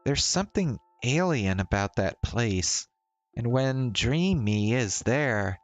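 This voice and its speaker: A man voicing an unhurried, weary young woman. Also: medium pitch, lively intonation, dry recording, muffled recording. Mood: weary, uneasy. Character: Shiori, tired young woman, teasing and troubled. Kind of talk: visual novel dialogue read-aloud.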